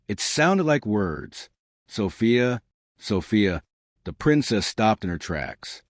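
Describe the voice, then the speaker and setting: Male storyteller, lowish voice, animated intonation, scripted fairytale narration, dry sound. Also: slow, no echo.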